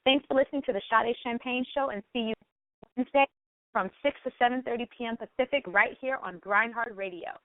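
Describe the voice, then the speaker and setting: A woman's voice: on the high side, fairly quick, no room sound, phone-quality sound. A woman hosting a radio talk show, speaking in an effusive, complimentary manner.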